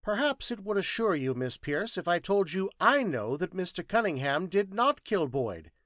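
Man acting curt, high-pitched scientist sleuth. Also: big pitch swings, moderate pace, a bit bright, no room sound, studio quality. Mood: curt, certain. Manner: curt, precise, didactic. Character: elderly professor-detective, curt, petulant, exacting.

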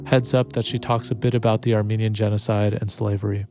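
A man reading a podcast episode introduction in an even, level way. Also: on the low side, fast. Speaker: podcast host. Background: plucked guitar music.

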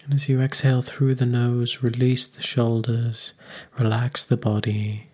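Husky, even-toned man guiding meditation. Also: medium pitch. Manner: calm, unhurried, soothing instruction. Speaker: meditation guide. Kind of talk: guided meditation.

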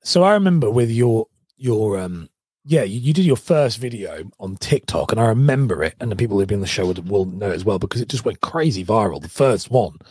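Hoarse, enthusiastic male podcast host. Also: on the low side, expressive, brisk, a little mellow, no room sound, clean studio sound. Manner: enthusiastic, rambling questions with many restarts. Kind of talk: casual interview chat.

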